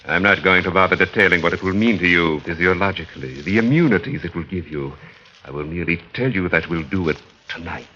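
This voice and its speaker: An actor playing a sinister, coldly polite mad scientist in 1940s radio horror, formal, condescending and commanding. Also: male voice, low voice, fast talker, close to an everyday voice. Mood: calmly sinister. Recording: muffled, noisy vintage 1940s radio recording.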